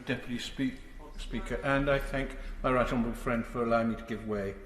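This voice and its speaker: Man, MP intervening, earnest and halting, big reverberant chamber. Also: medium pitch, steady tone, fairly bright tone. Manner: earnest and pleading, halting with repeats. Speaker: member of parliament intervening. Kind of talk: parliamentary intervention. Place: parliamentary debating chamber. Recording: echoey chamber broadcast feed.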